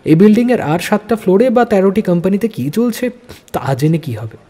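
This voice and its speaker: A man's voice: sits high, big pitch swings, fast, warm tone. A man narrating a spy thriller audio story in a dramatic, suspenseful manner.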